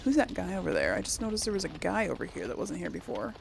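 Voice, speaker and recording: Chatty gravelly-edged woman gamer. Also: lowish voice, very animated, fast, slight background noise. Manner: chatty, thinking aloud, addressing viewers. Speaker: gaming channel host. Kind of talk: casual let's play commentary.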